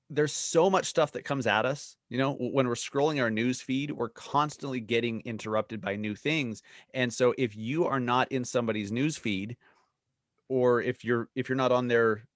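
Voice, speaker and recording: A man hosting a podcast, talking in a relaxed, halting way with frequent restarts. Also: medium pitch, no room sound, studio quality. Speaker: podcast host. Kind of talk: podcast interview.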